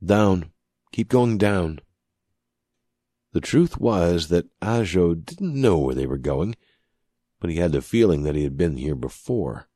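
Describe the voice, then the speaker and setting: A man narrating scripted fiction as an audiobook reader, with dramatic, measured and deliberate phrasing. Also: deep voice, very animated, dark tone, slightly rough, no echo, clean studio sound.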